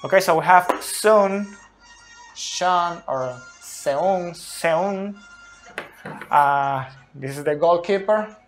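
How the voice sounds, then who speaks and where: A man in his thirties, speaking English as a second language, slowly explains football tactics at a whiteboard in a small room, often checking in with "okay?", recorded close on a phone. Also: sits high, soft background music. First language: not English. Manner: slow, deliberate, frequent "okay?" checks. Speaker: football analyst. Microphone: phone camera close up.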